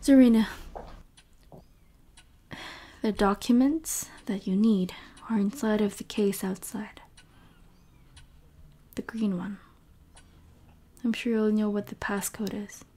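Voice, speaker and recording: An actress playing a hurt, caring but firm young woman in an emotional audio drama, breathy and earnest, often near whispering. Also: medium pitch, fairly even intonation, unhurried, pauses often, warm tone, close to an everyday voice.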